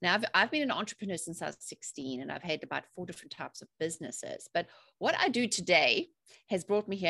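This is a woman with a South African accent, talking with cheerful energy and lifting her voice on the points she stresses. Her voice sits a bit low for a woman, and the sound is close and dry, with no room around it. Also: vocal fry, a bit bright, no room sound. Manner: chatty and upbeat, rising emphatic phrases. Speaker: podcast guest, mindset coach. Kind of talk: conversational interview answers.

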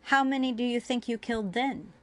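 Woman voicing a cruel, accusing alien interrogator, gravelly edge. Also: mid-range voice, moderate pace, no room sound, close to an everyday voice. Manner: accusing, contemptuous, forceful. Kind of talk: dramatic fiction reading.

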